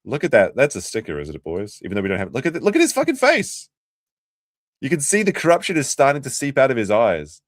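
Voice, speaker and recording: A man's voice: mid-range voice, huge pitch swings, fast, no room sound, studio quality. An Australian man hosting a casual livestream, chatty and sarcastic, reacting to clips and laughing often.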